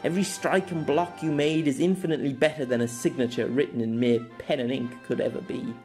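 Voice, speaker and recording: A man voicing a confident, playful young noblewoman swordfighter in an audio story, soft-spoken but commanding, with grand archaic phrasing. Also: medium pitch, fairly even intonation, fairly quick, soft background music, lightly acted. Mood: teasing, confident.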